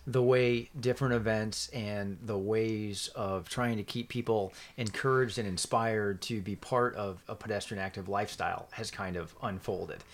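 A man's voice: lowish voice, steady tone, normal speed, few pauses. A man hosting a podcast, praising and thanking his guest effusively. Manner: warm, grateful and effusive. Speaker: podcast host. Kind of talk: casual podcast chat.

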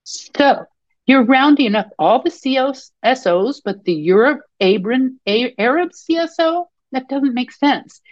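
A woman's voice: high-pitched, lively intonation, a little slow, no room sound, highs cut off, voice pushed up. A woman voicing a young wife who answers cautiously and defensively while protecting her husband.

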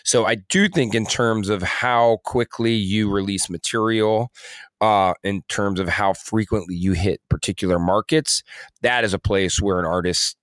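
A man with a low voice, recorded close and dry, co-hosts a podcast in a casual conversational style. He explains his views in a reflective way, reasoning through each point as he goes. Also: dry recording. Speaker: podcast co-host. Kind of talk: casual discussion.

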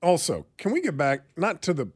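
A man's voice: fairly high pitch, sing-song, fairly quick, very noisy. An American man co-hosting a radio show in casual banter, telling stories in a wry, rambling way.

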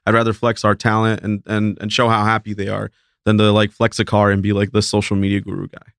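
A man with a lowish, smooth voice, talking in a relaxed and easygoing way as an interview guest. He leans on lots of fillers and restarts. The sound is close and dry, as in a studio. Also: fast, no room sound. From Mexico. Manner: laid-back, filler-heavy, restarts a lot. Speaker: guest, talent agency principal. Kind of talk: casual interview talk.

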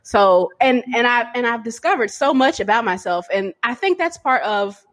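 A woman hosting a casual podcast conversation, reflective and halting, with many fillers. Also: on the high side, animated. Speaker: podcast host.

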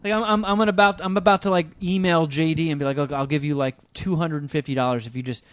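A man's voice: a little high, steady tone, fast talker, smooth, dry recording. A man hosting a podcast, telling loose, profane, self-mocking stories in casual chat. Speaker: podcast host.

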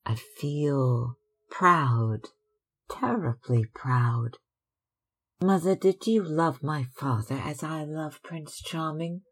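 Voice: medium pitch, slow, husky, pitched above the performer's own voice. A narrator voicing a starry-eyed young actress, breathless and theatrical, in an audiobook. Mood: fervent, yearning. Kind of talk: audiobook character acting.

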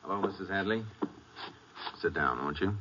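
Man playing a low-voiced, steady American Texas Ranger. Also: close to an everyday voice. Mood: gentle, firm. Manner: measured, matter-of-fact, reassuring. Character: calm, fair-minded, steady male Texas Ranger. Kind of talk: 1950s radio drama acting. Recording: muffled, noisy vintage radio recording.

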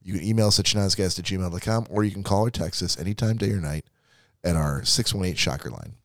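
Podcast host guy, lowish voice, laid back, rattling off the plugs, dry sound. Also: fast, dry recording. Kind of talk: casual podcast chat and show plugs.

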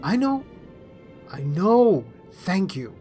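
A man's voice: high-pitched, very animated, drawn-out words, mellow, pitched above the performer's own voice, exaggerated voice. A mischievous young woman with ambition and a teasing streak , read by a man. She is a student journalist in a storybook, bantering with her boyfriend, with music underneath. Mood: teasing, smirking.

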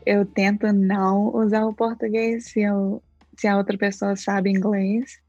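A woman answers an interview question in an even, matter-of-fact way with a flat melody. The recording is dull and dry. Soft music plays behind her. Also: medium pitch, unhurried, dry recording.

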